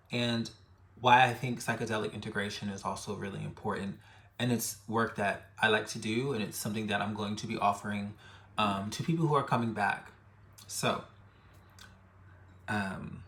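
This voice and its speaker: A man tells a personal story to camera in a reflective, halting way, repeating phrases for emphasis. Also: mid-range voice, a bit bright. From Bronx, New York City, United States. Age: 30s. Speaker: death midwife and ritualist, vlogger.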